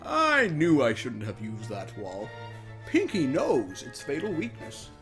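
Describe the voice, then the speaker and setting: A man voicing a mocking, theatrical chaos spirit villain from a cartoon, with taunting, drawn-out words. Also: medium pitch, wildly swooping pitch, fairly bright tone, faint music behind, heavily acted. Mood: wry.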